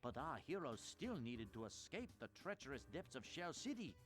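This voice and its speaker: A man's voice: mid-range voice, very animated, normal speed, a bit bright. A man playing a grandiose, theatrical narrator, delivering melodramatic storybook narration for a cartoon game in a pompous manner. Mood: grave, dramatic. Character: grandiose, theatrical male storyteller, fussily dramatic. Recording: music behind the voice.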